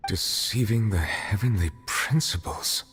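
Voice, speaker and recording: A man voicing a grave, serious character in a video game, speaking tersely and formally in a husky voice. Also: on the low side, measured pace, fairly bright tone, in a large hall, exaggerated voice. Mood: bewildered, demanding. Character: grave, serious man questioning a deceiving god.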